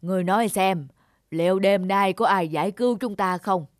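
A woman voicing a bossy, impatient, grumbling stout older man in an animated folk tale. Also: medium pitch, normal speed, dry recording, clean studio sound, natural voice. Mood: demanding. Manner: impatient, scolding, complaining. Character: grumpy, bossy, stout older male master.

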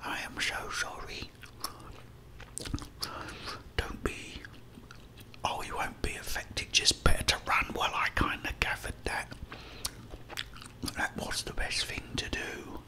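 A man's voice: takes it slowly, long pauses. A middle-aged man with a British accent whispers rambling, apologetic ASMR commentary while playing a game. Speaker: game streamer. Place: small indoor room.

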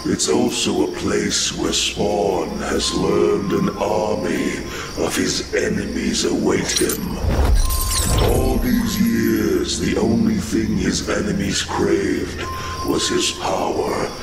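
A man narrating a comic book in a dramatic, ominous, deliberate style. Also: lowish voice, vocal fry. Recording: echoing voice under loud dramatic music.

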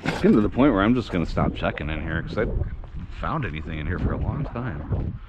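A man's voice: sits low, big pitch swings, quick pace. A man giving casual vlog commentary outdoors, rambling and thinking aloud as he goes. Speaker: vlogger. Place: outdoors in an industrial park lot. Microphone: body-worn action camera. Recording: muffled action-camera audio with clear outdoor noise.

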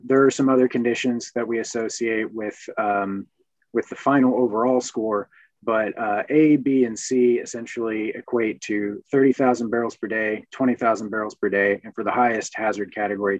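A man's voice: lowish voice, a little mellow, dry recording. Man, hesitant, lots of fillers, dry dull video-call sound. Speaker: regulator panelist. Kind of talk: panel discussion.

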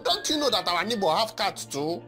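A man's voice: high-pitched, very animated, brisk, over music. A man playing a grumbling, skeptical husband. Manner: exasperated, grumbling. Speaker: comic actor. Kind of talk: comedy skit acting.

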